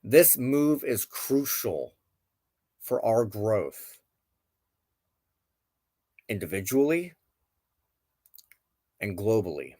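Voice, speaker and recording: A man hosting a spiritual tarot reading, speaking reflectively in deliberate phrases with frequent pauses. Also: fairly low pitch, very animated, dark tone, no room sound. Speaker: host of a spiritual tarot show. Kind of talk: tarot card reading, reflective spoken commentary.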